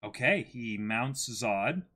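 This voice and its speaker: Young guy cohosting a stream, bright sharp voice, casual read-along commentary. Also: medium pitch, lively intonation, slow. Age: 20s. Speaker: co-host reading a manga for a live stream audience. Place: indoor room against a backdrop.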